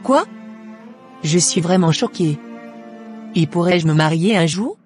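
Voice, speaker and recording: A man's voice: a little high, expressive, slow pace, soft background music. A man voicing a troubled young adult daughter in scripted cartoon dialogue, earnest and questioning. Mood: shocked.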